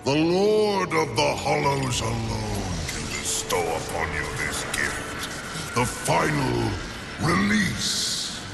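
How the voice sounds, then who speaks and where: An actor playing an ancient, imperious underworld lord in a fantasy game, speaking in a grandiose, measured, contemptuous manner. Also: male voice, mid-range voice, sing-song, creaky, heavily acted. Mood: solemn, commanding. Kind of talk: theatrical fantasy game cutscene acting. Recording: long cavernous reverb, music underneath.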